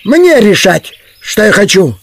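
A lordly sheriff in a radio play, high-pitched and creaky. He is curt and demanding, drawing out his words slowly and haughtily. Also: very animated, heavily acted. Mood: imperious. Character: haughty, imperious sheriff on horseback. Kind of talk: Russian audio drama acting.

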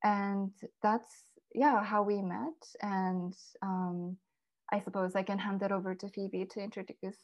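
Soft-spoken young woman, dull audio. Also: medium pitch, fairly even intonation, a little slow. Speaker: podcast co-host, guest. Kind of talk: casual introduction on a panel. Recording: dull lo-fi sound.

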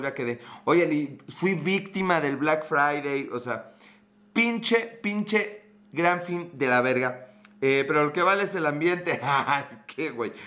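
Brief: young Mexican man, bright forceful tone, slangy jokey streamer, laughs a lot. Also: a little high. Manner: joking, crude slang, laughs often. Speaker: livestream host. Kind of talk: casual livestream chat reading viewer comments.

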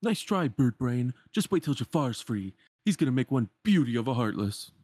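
A man voicing a gruff, bullying cartoon villain who barks out threats. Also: mid-range voice, sing-song, normal speed, a little mellow, dry recording, clean studio sound. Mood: gloating.

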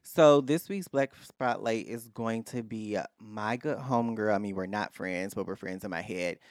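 A man's voice: fairly low pitch, normal speed, no echo. Opinionated man on a podcast, dry sound. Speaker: podcast co-host. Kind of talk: casual pop culture chat. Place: podcast studio.

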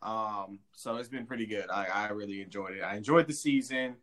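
A man hosting a podcast in casual chat, relaxed and chatty with quick laughs. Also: lowish voice. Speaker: podcast host.

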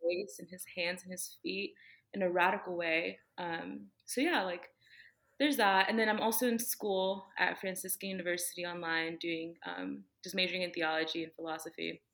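A woman's voice: fairly low pitch, fairly even intonation, normal speed, gravelly, a bit bright. A young woman, a podcast guest, talking earnestly about her faith in a casual, rambling way with many fillers.

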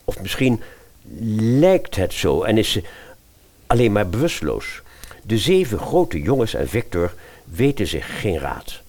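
A man's voice: lowish voice, wildly swooping pitch, unhurried, darkish tone. A man narrating a radio fairy tale in a dramatic storytelling style.

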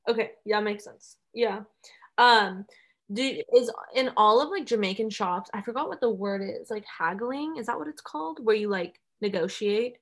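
A woman's voice: fairly high pitch, normal speed, fairly bright tone. A young woman co-hosting a podcast, talking in a chatty, filler-heavy way with frequent giggles. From United States. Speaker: podcast co-host. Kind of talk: casual chat.

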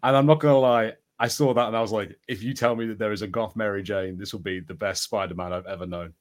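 A man's voice: fairly low pitch, steady tone, quick pace. A man co-hosting a podcast, talking casually and conversationally. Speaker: podcast co-host. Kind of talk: casual pop culture discussion.

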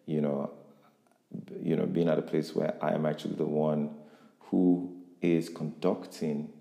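Low male voice, mellow, motivational podcast interviewer, echoey room with long reverb. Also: flat delivery, a little slow, creaky. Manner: reflective, motivational, with frequent restarts.

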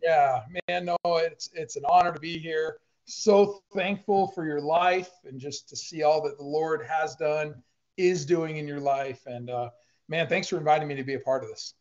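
A man in his forties, originally from Iran, tells his story in an earnest, rambling way and often repeats himself. His voice sits a bit high for a man. The audio is muffled and lo-fi, like a live-stream feed. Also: steady tone, normal speed, a little mellow. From Iran, raised in the United States. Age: 40s. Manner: earnest, repeats words as he talks. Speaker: sports team chaplain and former youth pastor. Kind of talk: casual interview storytelling.

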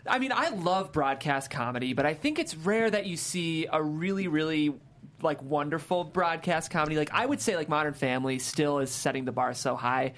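Man with very lively, swooping pitch. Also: moderate pace. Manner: hedging, conversational. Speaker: panel show host. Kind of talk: casual panel discussion. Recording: clear background room noise.

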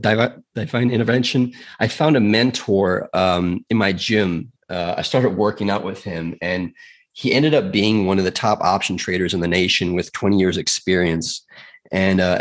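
A man from South Africa, a podcast guest telling his story in a chatty, earnest way with frequent fillers. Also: low voice. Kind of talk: casual storytelling in a podcast interview.

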